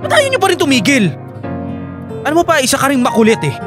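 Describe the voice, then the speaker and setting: An actor playing a troubled, defensive young Filipino husband and son in a radio drama. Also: male voice, very high voice, wildly swooping pitch, fast talker, exaggerated voice. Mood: defensive, irritated. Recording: studio drama with clear music bed.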